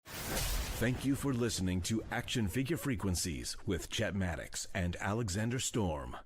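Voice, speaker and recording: A man's voice: fairly low pitch, expressive, a little slow, breathy edge. A man reading scripted show intros and station idents as a radio announcer. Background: music.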